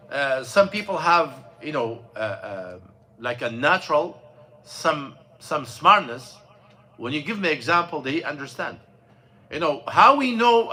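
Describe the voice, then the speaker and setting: Bright-voiced man telling a story. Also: sits high. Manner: storytelling, halting with many fillers and restarts. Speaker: imam. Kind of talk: mosque class talk. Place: mosque classroom.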